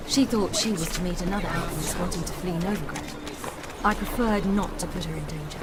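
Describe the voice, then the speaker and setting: An actress with a British accent playing a young adventurer in a fantasy game, speaking frankly with a quietly wry edge. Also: female voice, fairly low pitch, brisk, over a noisy crowd, heavy background noise, close to an everyday voice. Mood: candid. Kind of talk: game cutscene dialogue.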